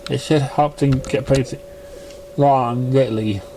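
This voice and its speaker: A man reading a story aloud as an amateur narrator, stumbling and halting over the words. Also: mid-range voice, steady tone, mellow, poor audio. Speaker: amateur fan fiction reader. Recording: noticeable background noise, amateur recording.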